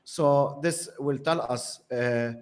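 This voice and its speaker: A man's voice: medium pitch, takes it slowly, clean tone. Hesitant man, Arabic native speaker. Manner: hesitant, many fillers and restarts. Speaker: guest commentator. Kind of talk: casual panel discussion.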